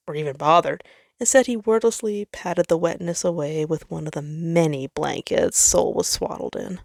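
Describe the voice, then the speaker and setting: A woman's voice: lowish voice, gravelly, a little mellow, no echo, clean studio sound. A woman reading a story aloud as narrator, in a dramatic scripted style. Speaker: fan fiction narrator.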